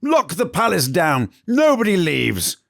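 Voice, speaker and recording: A shrieking, grief-crazed queen from a storybook fantasy, her voice flung far above her usual register as she barks commands in a frenzy, put on by a male narrator. Loud, strained and wild. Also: high-pitched, huge pitch swings, measured pace, gravelly, no echo, clean studio sound, pitched above the performer's own voice, heavily acted. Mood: hysterical. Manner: screeching, frantic commands. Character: shrieking grief-mad queen, middle-aged.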